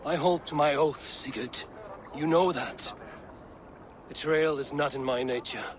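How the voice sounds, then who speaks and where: An actor playing a loyal, blunt Viking warrior in a video game, earnest and plain-spoken. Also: male voice, fairly high pitch, a little slow, a little hiss or hum, lightly acted. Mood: firm, loyal. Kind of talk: dramatic video game acting.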